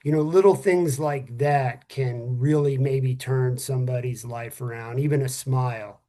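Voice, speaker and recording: A middle-aged man talks casually as co-host of a podcast for first responders. His delivery is earnest and candid, with a salty tongue, and his voice sits at a medium pitch for a man. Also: steady tone, unhurried, dark tone. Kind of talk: casual heartfelt talk among friends.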